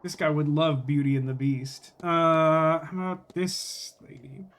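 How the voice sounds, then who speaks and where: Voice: mid-range voice, steady tone, a little slow. Streamer, mid-range voice, off-the-cuff gameplay commentary, reasoning aloud with joking asides. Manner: thinks out loud, joking asides. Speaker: game streamer. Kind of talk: casual gameplay commentary, reading dialogue aloud.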